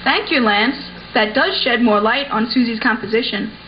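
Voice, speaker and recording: A woman's voice: a little high, normal speed, lightly acted. A woman playing an encouraging, orderly schoolteacher addressing her class in a scripted puppet show. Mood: approving. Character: encouraging, orderly female primary school teacher. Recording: dull lo-fi sound with faint noise.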